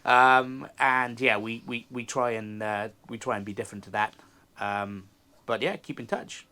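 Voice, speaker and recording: A man's voice: medium pitch, steady tone, moderate pace, sharp tone, clear, resonant tone. A man in his forties, interviewed about his yacht, talking casually with many fillers and restarts. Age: 40s. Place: outdoors on a moored yacht.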